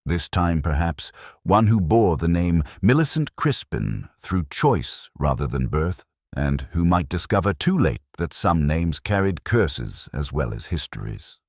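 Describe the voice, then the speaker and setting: A man narrating a scripted mystery story in a measured, formal, literary manner. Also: deep voice, no echo, studio quality.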